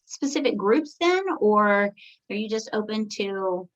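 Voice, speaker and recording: A woman hosting a podcast interview, eager and quick to affirm her guest. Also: mid-range voice, lively intonation. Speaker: podcast host. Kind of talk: casual interview. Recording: muffled lo-fi audio.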